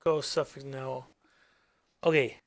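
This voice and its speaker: A man's voice: mid-range voice, fairly even intonation, takes it slowly, creaky, no room sound, studio quality. A man hosting his own channel, thinking aloud as he works on his screen, rambling and trailing off into mumbles. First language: English. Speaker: gaming channel host. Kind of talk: casual screen-recording commentary.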